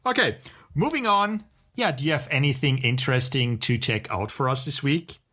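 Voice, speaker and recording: A man's voice: mid-range voice, lively intonation, moderate pace, sharp tone. A man co-hosting a podcast in casual conversation, with an opinionated, wry way of talking. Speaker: podcast co-host.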